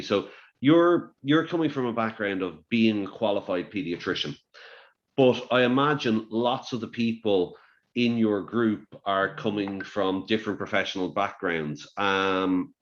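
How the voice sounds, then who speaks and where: A man with a fairly low voice hosts a webinar interview, chatty and stumbling over repeated words. He speaks through a muffled, lo-fi video call. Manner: chatty, repeats words, says "you know". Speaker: webinar host. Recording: dull lo-fi call audio.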